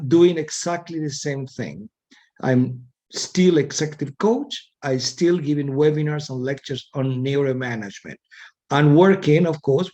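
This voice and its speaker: A middle-aged man with an Argentine Spanish accent, talking as an interview guest in a voice pitched a little high for a man. He is thoughtful and unhurried, often repeating a word while he finds the next phrase. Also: a little mellow. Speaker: guest, executive coach. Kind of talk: casual interview talk.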